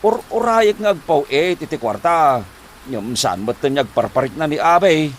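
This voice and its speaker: A man's voice: a little high, animated, moderate pace, lightly acted. A man acting a worried, debt-ridden husband in an Ilocano comedy drama, with an Ilocano accent and a flustered, stammering, sighing manner. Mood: anxious, pleading. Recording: noticeable background noise.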